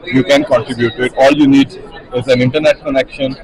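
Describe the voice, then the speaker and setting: A man's voice: medium pitch, level tone, quick pace, poor audio. A man from Pakistan in his thirties, a researcher giving a casual interview at a conference. He speaks thoughtfully and uses a lot of fillers. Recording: crowd noise behind.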